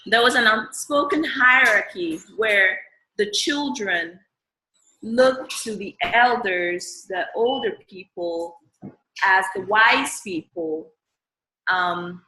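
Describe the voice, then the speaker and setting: A woman from Cameroon speaks to a small audience with a bright voice. She picks her words slowly, with plenty of ums. The sound is roomy and echoey. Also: mid-range voice. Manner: hesitant, frequent ums. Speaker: audience member. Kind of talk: personal testimony at a live forum.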